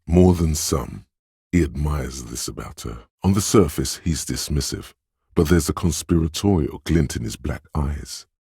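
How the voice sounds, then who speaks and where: A man voicing a suave, insinuating inner voice of persuasion in a video game, narrating in a measured, knowing, gently coaxing way. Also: no room sound, studio quality, exaggerated voice. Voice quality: very deep, husky. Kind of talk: scripted video game narration in second person.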